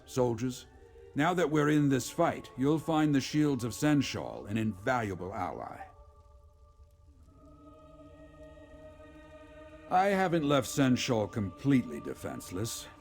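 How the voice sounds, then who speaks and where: A gravelly, low-voiced general. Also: male voice, darkish tone. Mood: grim, determined. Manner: formal, measured military speech. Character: remorseful, resolute veteran male general, father of a grown daughter. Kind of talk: scripted video game character dialogue.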